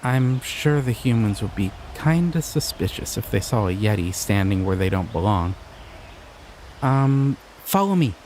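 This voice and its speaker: A young man voicing a shy, excitable male slime creature in an audio roleplay, talking in an earnest, flustered, giggly way. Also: on the low side, measured pace, slight background noise. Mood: excited. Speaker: voice actor.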